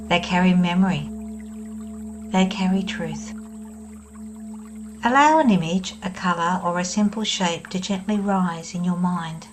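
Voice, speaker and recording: A woman guiding a meditation in a soft, soothing voice, speaking in calm, unhurried phrases. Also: medium pitch. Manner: soft, slow and soothing, with long pauses. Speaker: meditation guide. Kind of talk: guided meditation.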